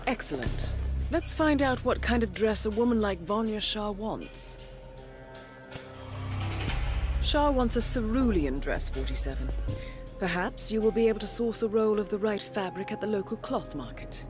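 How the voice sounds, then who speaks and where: An actress playing a composed intelligence handler, giving a formal, knowing mission briefing in a video game. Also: female voice, mid-range voice, animated, moderate pace, music in the background, close to an everyday voice.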